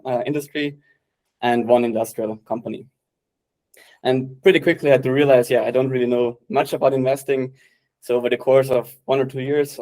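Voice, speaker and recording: A man in his late twenties from Austria, answering interview questions in a relaxed, chatty way full of "uh" and "yeah". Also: medium pitch. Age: late 20s. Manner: relaxed, many fillers like "uh" and "yeah". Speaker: private investor guest.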